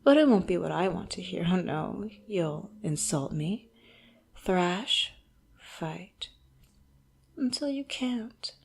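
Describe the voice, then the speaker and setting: Woman playing a slow-talking, silky-menacing villain boss. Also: fairly low pitch, animated, creaky, breathy edge, heavily acted. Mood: coolly menacing. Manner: slow, theatrical, mock-polite, taunting, drops into hushed asides. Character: elegant, wealthy villain boss, coolly menacing and condescending interrogator. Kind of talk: audio roleplay, scripted monologue to the listener.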